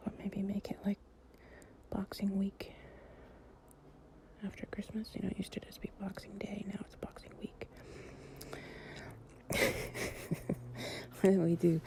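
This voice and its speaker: Slow, dark-toned woman, soft and whispery. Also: mid-range voice, flat delivery. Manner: soft, breathy, often whispering; affectionate, soothing, unhurried. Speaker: audio roleplay performer playing an affectionate girlfriend. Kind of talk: intimate improvised ASMR roleplay.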